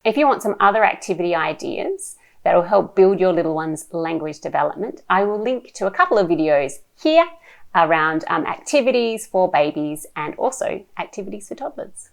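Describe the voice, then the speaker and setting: A woman presents advice to parents in a low, unhurried voice. Her delivery is explanatory and lively in pitch, with some creak at the edges. She puts emphasis on key points. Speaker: presenter giving parents reading tips. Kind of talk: instructional talk to camera.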